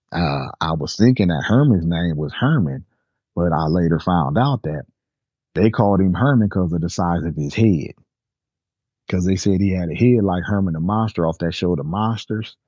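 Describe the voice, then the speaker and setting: An older man from Nebraska telling a personal story in a relaxed, rambling way with casual slang. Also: deep voice, no room sound, lo-fi sound. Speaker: storyteller guest. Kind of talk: personal storytelling, recounting a memory.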